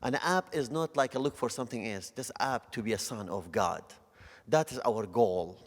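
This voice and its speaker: A middle-aged man from Northern Iraq with a Kurdish accent and broken English, giving an earnest, halting church testimony. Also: mid-range voice. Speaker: guest speaker. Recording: long church hall reverb.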